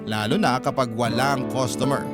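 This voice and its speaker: A man narrating a listener's letter as sentimental, measured radio storytelling. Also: medium pitch, steady tone. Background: prominent guitar music.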